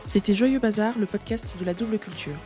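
A woman hosting a podcast, leading a casual interview with quick, engaged interjections. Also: medium pitch, dark tone, faint music behind. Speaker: podcast host.

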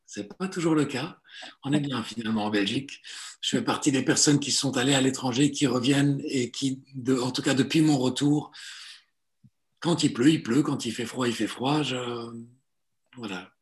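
Forties male therapist, halting and hesitant, restarts often, mid-range voice. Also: mid-range voice. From Italy. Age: 40s. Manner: hesitant, many fillers and restarts. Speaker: guest, psychotherapist and coach. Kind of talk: relaxed conversational interview.

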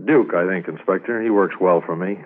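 An actor playing a keen, businesslike police investigator and dog handler in a brisk, earnest 1950s radio drama style. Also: male voice, deep voice, natural voice. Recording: noisy, tinny 1950s radio transcription.

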